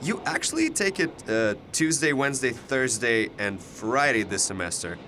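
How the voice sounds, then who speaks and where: Actor as slangy young commuter dude, bright swoopy voice, radio play, faint train noise. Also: male voice, very animated, normal speed. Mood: chatty, insistent. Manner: casual, slangy. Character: young male university student commuter confronted by chatty stranger. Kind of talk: naturalistic audio drama dialogue. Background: subway car rumble.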